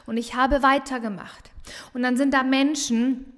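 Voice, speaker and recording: A woman with a regional dialect talks with bright, emphatic energy in a fairly high voice, hammering home her points by repeating them. She hosts a motivational business podcast, and her voice rings on in a long reverb. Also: fairly even intonation, moderate pace. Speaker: business coach and podcast host. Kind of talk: motivational solo podcast talk.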